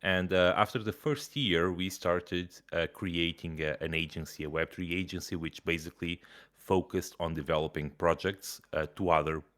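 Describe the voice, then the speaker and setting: A man from Portugal, a company executive, talking in relaxed, casual interview style with easy laughs and fillers. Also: low-pitched, moderate pace. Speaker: CEO.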